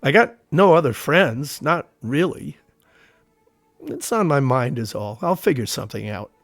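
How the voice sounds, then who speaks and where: Army veteran, nervous, terse, reluctant, audio drama, animated intonation, dry sound. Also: male voice, medium pitch, measured pace, creaky, no room sound, heavily acted. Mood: troubled, reluctant. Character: nervous, guarded male army veteran working as a painter.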